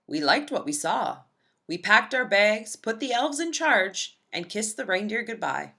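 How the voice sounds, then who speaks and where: Female storyteller for kids' picture books: lively, swooping delivery, bright forward tone, emphatic phrasing. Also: medium pitch, measured pace, vocal fry. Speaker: read-aloud storyteller. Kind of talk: expressive children's picture book read-aloud.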